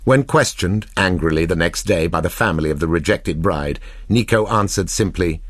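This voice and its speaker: Man, literary narration, low pitch, very dry close sound, measured expressive delivery, deliberate pauses. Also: no echo. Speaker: narrator reading a short story.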